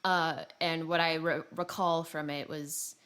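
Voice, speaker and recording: A woman's voice: low-pitched, flat delivery, unhurried, a bit bright. A woman chatting casually as the co-host of a podcast.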